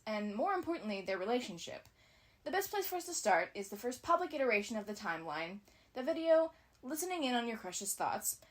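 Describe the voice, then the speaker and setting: A woman giving scripted analysis commentary as a video essayist, with casual asides. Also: fairly high pitch.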